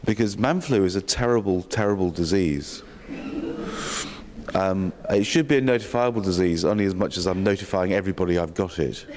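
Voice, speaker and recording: A man with a slightly croaky voice giving a welcome speech at a health sector session, with dry self-mocking humour and many ums and restarts. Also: deep voice, animated, brisk, darkish tone. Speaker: opening speaker at a health sector learning session. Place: conference hall. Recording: long hall reverb.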